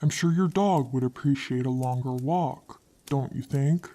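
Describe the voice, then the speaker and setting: A creepy stranger, a man in his thirties, voiced by a woman reading a horror story, speaks in a lowered, mellow voice. His talk is soft and falsely friendly, but it pushes and insists. He never raises his tone. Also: measured pace.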